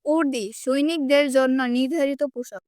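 Female student, high voice, unhurried halting delivery, reading out short definitions in a dry space. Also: steady tone, a little mellow, no room sound. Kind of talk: reciting word meanings in a lesson.

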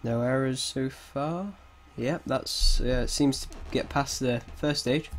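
Man, croaky from a cold, slow and hesitant, thinking aloud, keyboard typing in background. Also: medium pitch, a little hiss or hum. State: has a cold, slightly croaky. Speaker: game development tutor. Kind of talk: casual screen-recorded coding tutorial.

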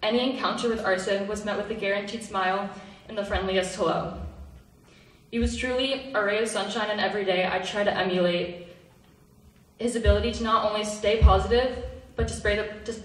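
A high school girl giving an earnest prepared tribute speech at a school concert, with some hesitant fillers. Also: fairly even intonation, fairly quick. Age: teens. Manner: earnest, reading from notes with fillers. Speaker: student speaker. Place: school auditorium. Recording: echoey hall, voice from far off.

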